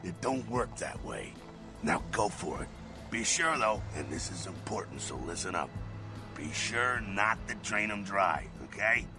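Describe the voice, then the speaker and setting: A brash street vampire swaggers through slangy advice in a rough, gravel-heavy voice. The pitch swings widely, giving this jovial game mentor a lot of bounce, and music plays clearly behind. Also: male voice, expressive, a little slow. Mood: instructive, wry. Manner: slangy, folksy, swaggering, swears. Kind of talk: video game character acting.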